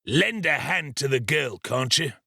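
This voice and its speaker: A man voicing a savage, sick, ill-tempered criminal in an audiobook, with a gruff, growling, impatient delivery. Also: mid-range voice, lively intonation, a little slow, fairly bright tone, dry recording, clean studio sound, voice pushed up, exaggerated voice. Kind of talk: dramatised audiobook reading of a classic novel.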